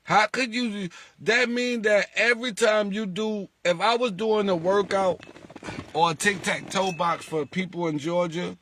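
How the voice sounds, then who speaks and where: A man's voice: high voice, unhurried. A man talking casually to his followers as a lottery group leader, asking rhetorical questions and acting out callers. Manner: animated, rhetorical, acts out callers.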